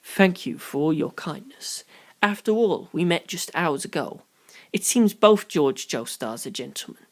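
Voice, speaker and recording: A reader voicing a polite, reserved young gentleman with a quiet, formal, old-fashioned manner. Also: medium pitch, animated, a little slow. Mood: grateful, formal.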